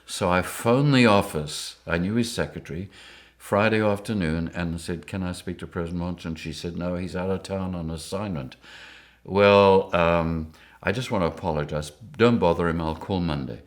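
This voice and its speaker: An older man with a very deep, low-pitched voice. He tells his stories in a lively way and keeps breaking into chuckles. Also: normal speed. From Rhodesia. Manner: lively anecdotes, chuckling often. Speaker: interviewee. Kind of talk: storytelling interview.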